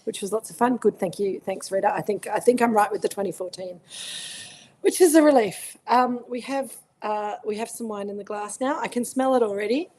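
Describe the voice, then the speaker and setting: A middle-aged Australian woman with a croaky voice, chatting casually as she hosts a live virtual wine tasting and greets viewers by name. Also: mid-range voice, fairly quick, darkish tone. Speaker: winemaker hosting a virtual tasting. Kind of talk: casual livestream chat.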